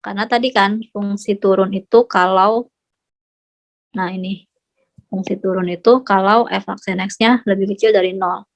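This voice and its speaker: A woman's voice: mid-range voice, fairly even intonation, normal speed. A woman tutoring math in a casual, chatty way, often asking whether her students follow. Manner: chatty, checks understanding often. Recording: slightly dull lo-fi audio.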